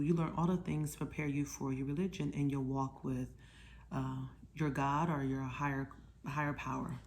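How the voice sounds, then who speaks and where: A woman hosting a children's storytime, talking casually and teaching in simple words, with questions to check that listeners follow. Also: on the low side. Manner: simple, informal explanations for children. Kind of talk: casual children's lesson, conversational.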